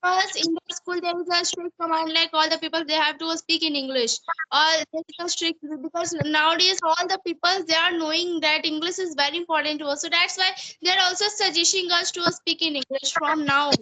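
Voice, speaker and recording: A young woman speaks English with an Indian accent, Hindi being her first language. Her voice is high-pitched and bright. She explains earnestly in conversation, often circling back to the same phrasing. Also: fairly even intonation, a little fast, rough recording. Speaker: student giving English-learning advice. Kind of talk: conversational English practice interview.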